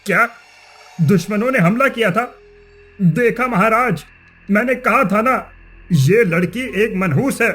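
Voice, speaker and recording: A man's voice: sits high, a little slow, pauses often, a bit bright, breathy edge, faint music behind, exaggerated voice. Man playing a scheming, accusatory village headman. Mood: accusing. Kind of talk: Hindi animated moral-story acting.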